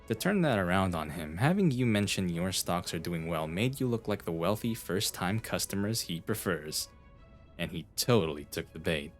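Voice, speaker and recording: A man playing an eccentric young genius detective, intense and flippant, in an anime fan dub. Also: low voice. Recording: voice over background music.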